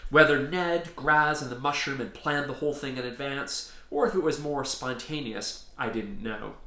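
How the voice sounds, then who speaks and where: A man's voice: mid-range voice, moderate pace, pushed and bright. A man reading a children's story as its storyteller, in an informal way. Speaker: author and storyteller. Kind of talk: animated children's story reading with casual introduction. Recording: roomy echo.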